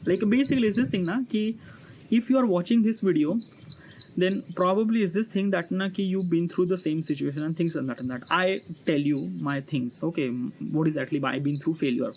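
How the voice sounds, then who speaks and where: An Indian-accented man in his early thirties speaks off the cuff to his viewers in a fairly high voice. His delivery is halting and circles back on itself, padded with repeated filler phrases. Birdsong and faint ambient noise sit behind him. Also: darkish tone, poor audio. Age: early 30s. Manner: halting, repetitive, filler-heavy with 'kind of like'. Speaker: vlogger. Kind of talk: unscripted personal monologue to viewers. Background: chirping birds, ambient noise.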